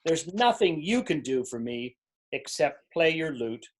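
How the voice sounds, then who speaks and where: A man acts a petulant storybook king in a highish voice that rises and falls freely. The manner is insistent and complaining, that of a ruler who is easily vexed. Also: sing-song, measured pace. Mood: mournful. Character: petulant, demanding king, fretful and easily enraged. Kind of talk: readers theater storybook reading.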